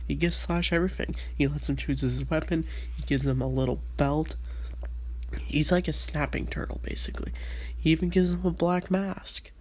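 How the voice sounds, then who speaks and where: A man's voice: on the high side, steady tone, normal speed, a little mellow. A man with a stuffy, under-the-weather voice, eagerly recapping a cartoon episode in a casual solo review.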